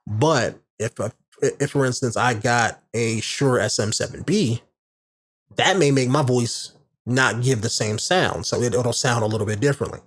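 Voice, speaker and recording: Warm-voiced man rambling on a podcast. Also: mid-range voice, moderate pace. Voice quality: warm, medium-range voice. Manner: loose and rambling, many fillers and restarts. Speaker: podcast host. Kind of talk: casual explanatory monologue. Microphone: large studio condenser microphone.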